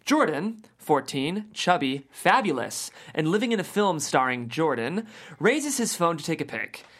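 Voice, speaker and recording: Low-voiced, very animated woman host. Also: measured pace. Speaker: show host reading stage directions. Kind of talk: hosting and narrating a script table read.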